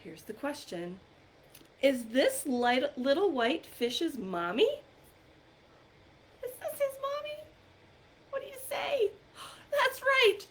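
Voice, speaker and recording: A woman leading an interactive storytime for young children as a librarian, encouraging them with questions and pauses for answers, recorded on a computer microphone. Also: sits high, very animated, a bit bright, a little hiss or hum. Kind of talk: interactive read-aloud and singing for young children.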